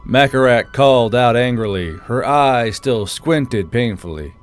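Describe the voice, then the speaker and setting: A man's voice: medium pitch, expressive, measured pace. A man reading scripted fantasy fiction as the narrator of an audiobook. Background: music.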